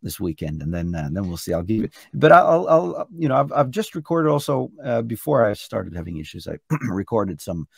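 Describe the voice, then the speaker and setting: A hoarse middle-aged Norwegian man talks about his music over a video call, his low voice rough and gravelly. He rambles eagerly, restarting phrases as he goes. The sound is dry and close. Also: big pitch swings, fairly quick, hardly any pauses, no room sound. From Norway. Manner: chatty, enthusiastic, frequent restarts. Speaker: singer and contest entrant. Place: hotel room indoors.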